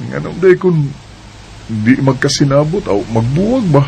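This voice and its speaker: A man's voice: on the high side, animated, slow pace, creaky, dark tone, slight background noise, heavily acted, low-quality recording. A young man acting the lead in a homemade radio drama, playful and laughing when courting, earnest when troubled.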